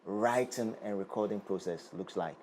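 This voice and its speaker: Low mellow-voiced Ghanaian male host. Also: normal speed, slight background noise. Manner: echoes the guest's words, brief affirmations. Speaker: interview host. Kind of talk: casual interview questions.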